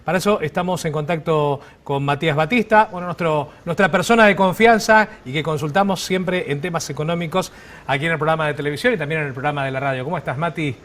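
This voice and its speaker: A man's voice: fairly high pitch, fast talker, fairly bright tone. An Argentine man presenting conversationally as the host of a current affairs show. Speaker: television and radio host.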